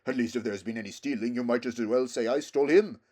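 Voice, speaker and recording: A man's voice: medium pitch, quick pace, darkish tone, natural voice. A man voicing an indignant, blustering talking horse in a storybook, with emphatic, snorting delivery. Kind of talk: storybook character voice.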